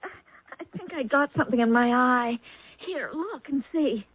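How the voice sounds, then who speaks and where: Husky, demure young femme fatale. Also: female voice, sits high, expressive, normal speed, dry recording. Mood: flustered. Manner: apologetic, coy, polite. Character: demure, soft-mannered young female poisoner feigning innocence. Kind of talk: 1970s radio mystery drama acting. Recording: muffled, dry lo-fi 1970s radio recording.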